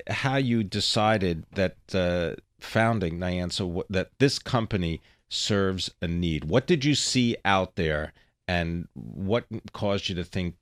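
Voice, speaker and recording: A man co-hosting a business interview in a studio, brisk and joking. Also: low-pitched, expressive, dry recording. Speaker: radio business show co-host. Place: broadcast studio.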